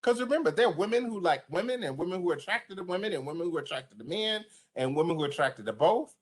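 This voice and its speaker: A woman with a deep voice giving an online training to service providers, speaking passionately and conversationally. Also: animated, fast. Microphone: video call.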